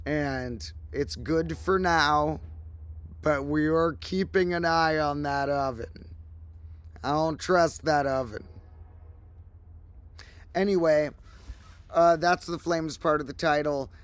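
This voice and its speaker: Slightly hoarse man, rambling livestream talk. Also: fairly high pitch, slow pace, long pauses, no echo. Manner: rambling, animated storytelling. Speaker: host. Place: outdoors, windy.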